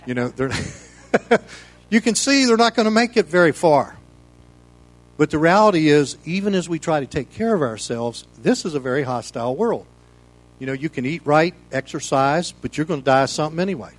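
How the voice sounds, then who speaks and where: A man's voice: a little high, expressive, moderate pace, rough recording. A middle-aged or older American man giving an informal sermon as a pastor, in a folksy, rambling, conversational way full of "you know.". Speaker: pastor leading a Bible study.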